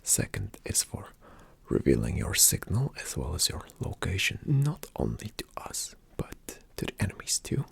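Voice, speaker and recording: Male ASMR performer as a calm, attentive spy agent; lowish, hushed, breathy whisper, unhurried instructional delivery. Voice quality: soft, breathy whisper with some creak. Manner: slow, hushed, deliberate instruction.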